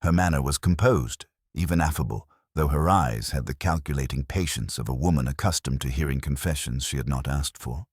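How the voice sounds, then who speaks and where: Very deep-voiced male mystery narrator. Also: fairly quick, hardly any pauses, slightly rough, no echo, clean studio sound. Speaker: audiobook narrator. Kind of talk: scripted literary mystery narration.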